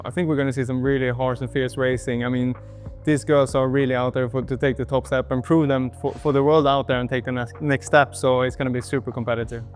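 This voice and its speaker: A man's voice: mid-range voice, flat delivery, fast, faint music behind. A man guest speaking confidently about the race. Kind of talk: casual chat.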